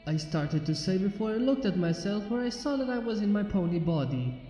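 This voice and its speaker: A man narrates a story in the first person in a high, soft-toned voice. He reads from a script in a roomy, echoing space, with music underneath. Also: animated, fairly quick. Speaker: fan fiction narrator. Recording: roomy echo, reverb, music behind. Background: background music.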